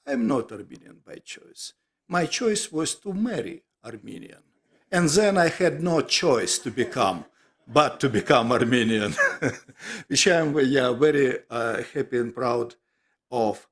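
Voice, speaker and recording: A man from Ukraine with a Ukrainian accent, giving an academic talk in a halting way with many fillers. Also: medium pitch, lively intonation, slightly rough. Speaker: lecture speaker.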